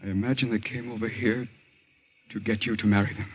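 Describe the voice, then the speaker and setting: A husky young American doctor from an old radio drama. He is earnest and shaken, speaking with hesitant restraint. The sound is dull and dated. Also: fairly low pitch, level tone, brisk. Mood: grieved, subdued. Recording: muffled vintage radio recording.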